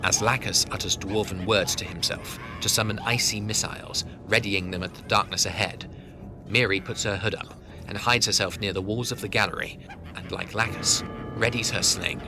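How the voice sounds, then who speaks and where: Male narrator: low voice, solemn fantasy storytelling, heavy music bed behind. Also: steady tone, moderate pace. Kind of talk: scripted narration of fantasy audio drama. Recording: loud music over the voice. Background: loud music.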